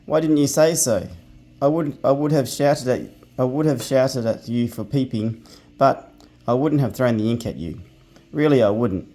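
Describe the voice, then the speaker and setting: A man voicing an angry little male pixie who flies into rages, shouting indignantly in a storybook reading. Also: mid-range voice, normal speed, lightly acted. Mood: furious.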